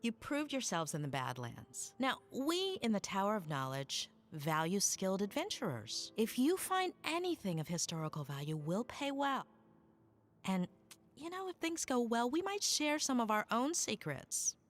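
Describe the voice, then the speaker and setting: Woman playing an animated young council aide. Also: mid-range voice, moderate pace, close to an everyday voice. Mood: cordial, persuasive. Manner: polite, persuasive. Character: eager, cordial young female junior council aide. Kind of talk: scripted fantasy game cutscene acting.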